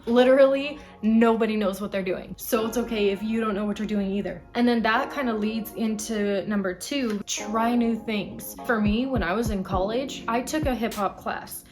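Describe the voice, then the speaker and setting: A woman in her late twenties talking casually to camera, chatty and emphatic as she runs through a list of life lessons. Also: mid-range voice. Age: 20s. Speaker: vlogger.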